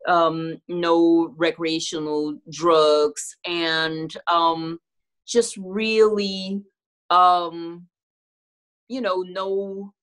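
A woman's voice: fairly low pitch, steady tone, extremely slow, fairly bright tone. A middle-aged woman, a singer, talking candidly and reflectively in a casual video-call interview from a room at home. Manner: candid, reflective, laughs easily. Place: home room with clothing rack. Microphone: video call webcam.

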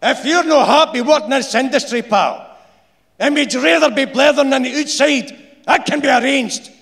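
A man voicing an angry, bossy young shipyard foreman with a Glaswegian accent, loud and scolding. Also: very high voice, normal speed, creaky, pitched above the performer's own voice, heavily acted. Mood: threatening. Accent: Glaswegian Scottish. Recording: echoey theatre stage.

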